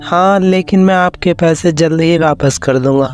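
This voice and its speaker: A man's voice: on the high side, moderate pace, lightly acted. A man voicing a humble, polite village neighbour in a moral story cartoon, with deferential phrasing. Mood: apologetic. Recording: music under the voice.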